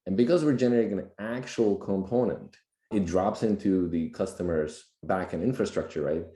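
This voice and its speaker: A man with a slightly raspy voice from a recent cold, explaining things plainly and casually as a podcast guest. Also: deep voice, moderate pace, warm tone. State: just getting over a cold. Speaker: podcast guest, tech executive. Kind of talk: casual explanatory tech talk. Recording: roomy, echoey sound.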